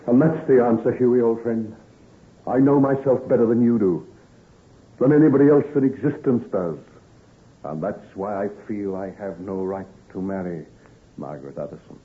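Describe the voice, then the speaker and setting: An actor playing an anguished, secretive young doctor aged before his time, with grave, halting, melodramatic delivery in an old-time radio drama. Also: on the low side, close to an everyday voice. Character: anguished, prematurely aged doctor, not yet thirty, secretive, self-reproaching. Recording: muffled, narrow-band old radio recording.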